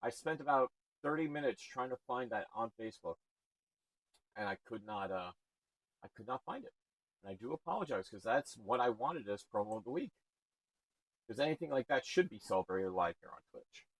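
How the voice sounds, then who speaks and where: A man with a booming voice hosting a live stream, energetic and shouting out viewers. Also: brisk, pauses often, no echo, rough recording. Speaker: stream host.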